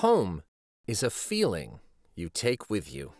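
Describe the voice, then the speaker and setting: A middle-aged man reads a picture book aloud as host, in an expressive, dramatic manner. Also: fairly low pitch, slow, faint music behind. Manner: animated, dramatic. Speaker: children's storybook reader and show host.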